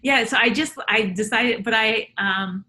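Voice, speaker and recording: A woman's voice: a little high, a little slow, pushed and bright. A woman comedian in a casual interview, telling loose, rambling stories full of fillers. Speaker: comedian guest.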